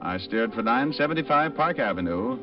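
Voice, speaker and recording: An actor playing a breezy, mocking private detective in a 1940s radio drama, with a flippant, sardonic way of talking. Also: male voice, medium pitch, animated, moderate pace, close to an everyday voice. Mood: easygoing. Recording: muffled vintage radio recording, distant, music.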